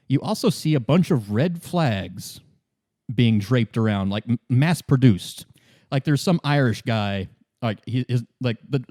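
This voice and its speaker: Male game master, middling pitch, improvised narration, casual, halting, good-humored, dry studio-like sound. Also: mellow, no room sound. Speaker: game master, podcast host.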